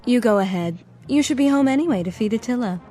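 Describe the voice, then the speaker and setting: A woman's voice: fairly high pitch, animated, fast talker, dark tone, soft background music, close to an everyday voice. A woman playing a vain, cold film star. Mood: cool. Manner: dismissive, condescending. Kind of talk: radio drama acting.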